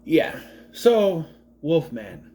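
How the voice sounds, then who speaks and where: Man, late 30s, lively swooping pitch, rambling, swears, casual vlog review. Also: drawn-out words, a little hiss or hum. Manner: rambling, swears freely, gets sidetracked. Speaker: movie reviewer.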